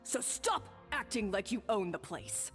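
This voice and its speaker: A woman's voice: medium pitch, sing-song, a little slow, a bit bright. A woman voicing a hot-tempered young head chef in an anime game, imperious and confrontational. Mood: angry. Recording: long reverb, music behind.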